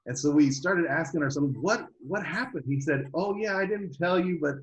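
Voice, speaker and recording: A middle-aged man speaking as an interview guest, telling personal stories in an animated, conversational way. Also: fairly high pitch, normal speed, poor audio. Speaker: guest, homeschooling parent.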